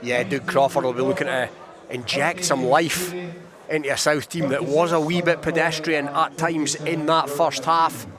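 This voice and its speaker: A Scottish man giving live radio rugby commentary in measured phrases with informal remarks, from an outdoor ground. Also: a little high, fairly even intonation, crowd noise.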